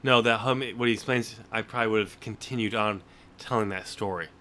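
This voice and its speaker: A man who hosts a podcast, talking casually about books in a halting way and often restarting his sentences mid-thought. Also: on the low side, sharp tone. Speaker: podcast host and novelist. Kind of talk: casual book discussion.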